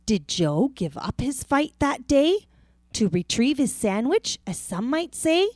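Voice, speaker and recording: A woman reading a rhyming children's picture book aloud in a theatrical, deliberate way. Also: sits high, sing-song, a little mellow, no room sound, clean studio sound. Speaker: storybook reader.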